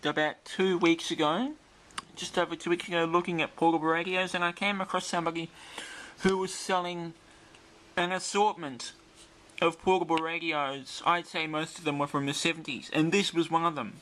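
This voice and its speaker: A man's voice: a little high, level tone, normal speed, fairly bright tone, rough recording. A man giving an unscripted show-and-tell as a video presenter, explaining things carefully and in detail. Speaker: presenter of a vintage radio demonstration video. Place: indoors in a bright room.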